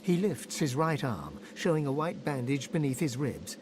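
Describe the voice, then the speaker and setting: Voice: mid-range voice, very animated, normal speed, background noise. A narrator reading dramatic scripted storytelling for a fantasy game.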